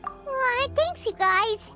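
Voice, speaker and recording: A woman voicing a small, excitable, whiny fairy companion with a squeaky childlike voice in a game. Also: drawn-out words, exaggerated voice. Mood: touched. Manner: exclaiming, dramatic. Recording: music playing behind the voice.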